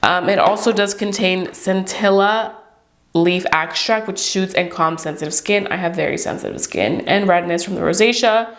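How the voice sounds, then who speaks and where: A woman's voice: on the low side, moderate pace, fairly bright tone. A young woman reviewing beauty products to camera in a chatty, upbeat way, her voice stuffy and congested from a cold. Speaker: beauty vlogger.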